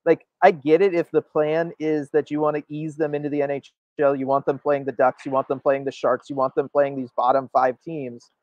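A man's voice: fairly high pitch, little pitch movement, brisk, few pauses, a little mellow, no echo, muffled recording. A man co-hosting a sports show, giving emphatic opinionated commentary and often checking for agreement. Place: indoor room, video call.

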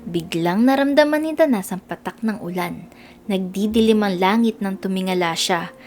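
A woman with a Filipino accent narrating a scripted children's storybook in an expressive read-aloud style. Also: mid-range voice, moderate pace, faint music behind.

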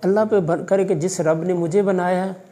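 उर्दू लहजे वाला अधेड़ आदमी, नरम धीमी आवाज़. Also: male voice, high-pitched, fairly even intonation, warm tone. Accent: Pakistani Urdu. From Pakistan. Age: 50s. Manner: measured, fatherly, religious phrasing, hesitant fillers. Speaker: college vice principal. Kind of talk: interview answers. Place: office in a college. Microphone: far camera.

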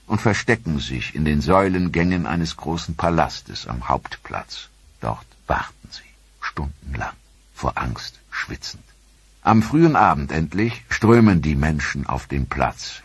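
Deep husky documentary narrator man. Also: no echo. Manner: dramatic, measured storytelling delivery.

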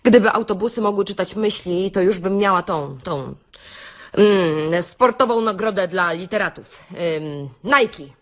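A woman playing a chatty, wry workshop speaker in a comic audio-play monologue, theatrical and confiding, with hesitant pauses and rhetorical questions. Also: medium pitch, close to an everyday voice. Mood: wry, exasperated.

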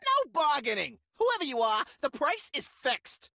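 A woman's voice: high voice, huge pitch swings, measured pace, gravelly, sharp tone, dry recording, studio quality, full character voice. A woman voicing a small, cocky, greedy male creature trader for a video game, with a brash, taunting, theatrical manner. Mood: smug, grumbling. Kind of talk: video game character voice lines.

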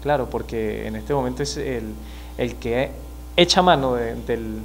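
A man in his thirties from Venezuela, with a Venezuelan accent, speaking as a criminologist guest in a studio interview, measured and explanatory with frequent hesitations. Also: medium pitch, a little mellow, slight background noise. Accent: Venezuelan Spanish. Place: small TV studio. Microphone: mic on desk stand.

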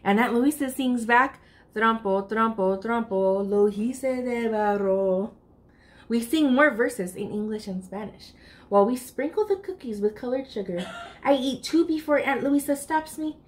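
A woman's voice: sits high, animated, moderate pace. A woman in her thirties reading a picture book aloud expressively and speaking directly to children. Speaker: library storytime reader. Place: furnished room indoors.

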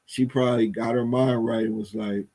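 A man's voice: medium pitch, steady tone, normal speed, warm tone. A man in his thirties co-hosting a commentary show, chatting off the cuff in slangy phrases over a headset on a video call from home. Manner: slangy, often adds "you feel me" and "man". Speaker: co-host of a news commentary show. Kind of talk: casual unscripted chat.

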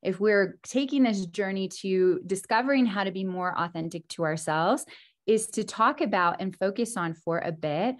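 A woman in her thirties talks to an online meetup from her home kitchen. Her voice is a little froggy from a recent throat infection, sitting at an ordinary middle pitch. She speaks earnestly and upbeat into a laptop mic. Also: moderate pace. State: recovering from strep throat and bronchitis, a bit froggy. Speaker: guest speaker. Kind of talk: motivational talk on a video call. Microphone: video call, laptop camera.

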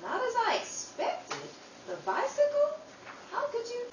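A woman playing a timid young baby sister in an old-time radio drama, speaking in a meek, halting way. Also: very high-pitched, huge pitch swings, exaggerated voice. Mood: wistful. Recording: roomy, distant mic, clear noise.

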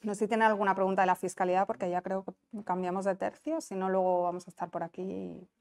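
Spanish woman, not canarian accent, explaining stuff at a conference, clean smooth voice, chatty and checks you're following. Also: medium pitch, flat delivery, quick pace, a little mellow. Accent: Spanish, non-Canarian. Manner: explanatory, conversational, checks understanding with tag questions. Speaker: conference presenter, business incentive adviser. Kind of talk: informal conference presentation. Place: small indoor conference room. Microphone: lapel mic.

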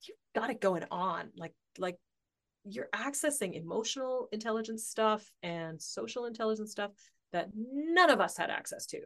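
A woman's voice: mid-range voice, lively intonation, normal speed, fairly bright tone. A woman in her forties, a guest on a podcast, chatting casually and thinking aloud, with frequent laughter. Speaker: podcast guest, author and coach. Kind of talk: casual interview chat.

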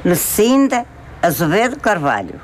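A woman in her seventies, a farmer with a northern Portuguese rural accent, telling a village legend haltingly and repeating words. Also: fairly low pitch, big pitch swings. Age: 70s. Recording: faint outdoor noise.

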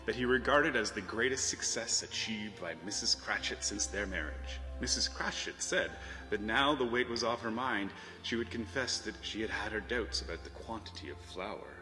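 Bright, forceful low-voiced male storyteller. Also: normal speed. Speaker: narrator. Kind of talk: dramatic storybook narration. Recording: reverberant hall sound, music behind.

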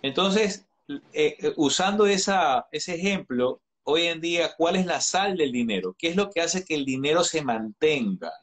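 A man's voice: on the high side, expressive, unhurried, fairly bright tone. Earnest bearded man on a video call. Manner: earnest, advising, frequent restarts and hesitations. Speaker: interview guest. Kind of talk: earnest live-stream conversation. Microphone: video call webcam.

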